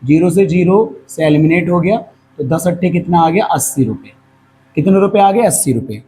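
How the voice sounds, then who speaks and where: A man's voice: fairly high pitch, a little fast, mellow, rough recording. A male maths teacher, teaching a class lesson at a whiteboard. He is patient and keeps asking questions, then answers them himself. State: hoarse. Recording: faint background noise.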